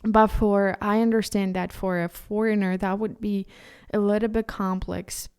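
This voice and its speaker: A Ukrainian woman, a native Ukrainian speaker, hosting a solo podcast in English with an earnest, halting delivery full of restarts. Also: medium pitch, little pitch movement, a little mellow, clear, resonant tone. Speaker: podcast host.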